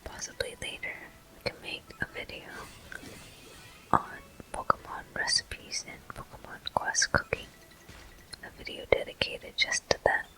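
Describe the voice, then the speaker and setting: A person whispering a video game walkthrough in ASMR style, with soft, breathy, slow and deliberate delivery in short phrases. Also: poor audio. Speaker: gameplay host.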